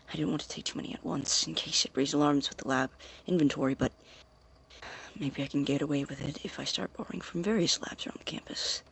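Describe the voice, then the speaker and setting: An actor playing a secretive, obsessive young scientist who records experiment logs in a halting, deliberate, formal way, as in an audio drama. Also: male voice, on the high side, gravelly, a little hiss or hum. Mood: troubled, secretive. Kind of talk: dramatic audio-diary monologue.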